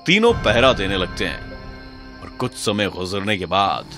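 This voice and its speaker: A male storyteller narrates a horror cartoon at a middle pitch, his voice rising and falling a lot. Loud music runs under the whole narration. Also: very animated, measured pace.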